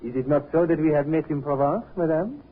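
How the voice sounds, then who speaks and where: An actor playing an excitable, amorous French inventor who halts and hunts for English words, in 1950s radio drama style. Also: male voice, on the high side, husky. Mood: hesitant, courtly. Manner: halting, hunting for English words. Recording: tinny, narrow old radio recording.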